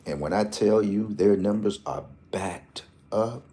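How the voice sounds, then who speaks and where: A low-pitched man talks through lottery numbers in a loose, joking vlog ramble. His voice is deep and mellow, and he jumps from slang-filled asides to number picks. He keeps an animated, chatty tone throughout. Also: unhurried. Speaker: lottery tips host. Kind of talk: casual rambling vlog talk.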